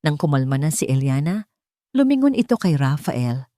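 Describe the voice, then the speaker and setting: A woman's voice: deep voice, sing-song, normal speed, warm tone, dry recording, clean studio sound. A woman narrating a scripted dramatic story.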